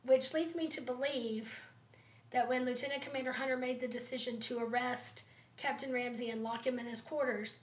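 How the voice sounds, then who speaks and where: A woman student giving a class presentation, thoughtful and pausing often with ums, her voice slightly raspy from allergies. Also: on the high side, steady tone. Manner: thoughtful, with frequent ums and pauses. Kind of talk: class presentation, leadership analysis. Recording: roomy, dull lo-fi recording.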